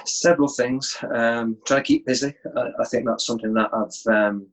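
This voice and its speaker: A middle-aged man, chatting in a relaxed way as an interview guest from home over a video call. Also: medium pitch, moderate pace, low-quality recording. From England. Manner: relaxed, easygoing with chuckles and restarts. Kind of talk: casual interview chat.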